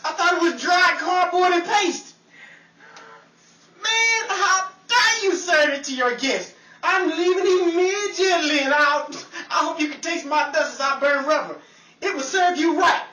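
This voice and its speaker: A woman playing a haughty, dramatic character in an old-time style radio comedy, with theatrical, deliberate delivery. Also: very high-pitched, pushed and bright, exaggerated voice. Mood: indignant. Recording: echoey room, distant mic, noisy.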